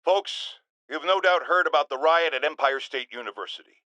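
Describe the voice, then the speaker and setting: An actor playing a pompous, outraged middle-aged radio host from a video game, ranting in an emphatic, sarcastic, theatrical way. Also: male voice, fairly high pitch, normal speed, sharp tone, no echo. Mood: grave, warning.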